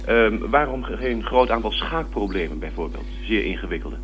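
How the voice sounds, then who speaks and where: A man's voice: on the low side, lively intonation, moderate pace. A man asking interview questions as a radio presenter, polite and formal in his address. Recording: slightly noisy old radio recording.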